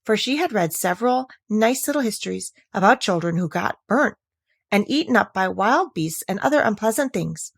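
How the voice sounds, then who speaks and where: Female storybook narrator, mid-pitched with lively intonation, touches of vocal fry, expressive scripted read, dry close sound. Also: normal speed, no echo. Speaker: audiobook narrator.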